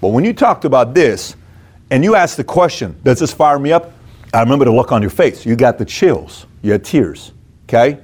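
A man's voice: mid-range voice, sing-song, normal speed, darkish tone, breathy edge. A man speaking as a motivational business coach, conversational yet emphatic, using punchy short phrases and rhetorical questions.

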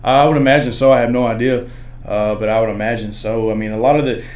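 A man with a deep baritone voice, a podcast guest explaining things in loose, rambling casual chat and hedging as he goes. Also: steady tone, quick pace, a little mellow.

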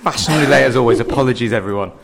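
Young male director as interview guest, medium pitch, wry and self-deprecating, chatting in an echoey room. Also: very fast, creaky, noisy background. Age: young adult. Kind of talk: casual interview chat.

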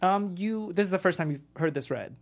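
A man's voice: high-pitched, lively intonation, fairly quick, a little hiss or hum. A man, an actor on a panel, asking questions in a casual chat.

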